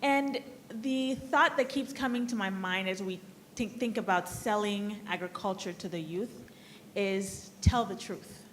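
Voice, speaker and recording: A woman speaking informally from the floor at a conference, candid and wry, in a halting, discursive way. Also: mid-range voice, a bit bright. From Liberia, grew up in the US. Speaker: audience member, central bank staffer. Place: conference hall, audience floor. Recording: noisy conference room microphone.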